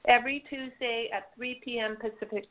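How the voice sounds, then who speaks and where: A woman's voice: on the high side, fairly even intonation, a little fast. A woman hosting an interview radio show, introducing the program and her guest. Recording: narrow tinny phone-line sound.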